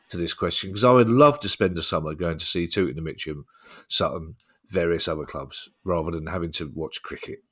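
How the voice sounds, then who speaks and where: Deep-voiced jokey podcast host. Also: male voice, fairly bright tone. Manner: jokey, chatty, reads listener questions. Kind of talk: casual podcast chat.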